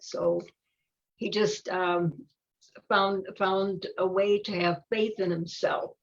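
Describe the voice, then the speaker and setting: Older woman with halting speech, dull recording. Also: mid-range voice, fairly even intonation. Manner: halting, searching for words. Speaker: volunteer storybook reader. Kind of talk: casual discussion of a children's book.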